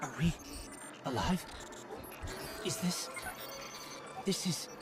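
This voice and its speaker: An actor playing a small floating robot companion in a video game, earnest and hesitant, full of wonder. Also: male voice, on the high side, slow, over loud music. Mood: awed, searching. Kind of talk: video game cutscene and dialogue acting.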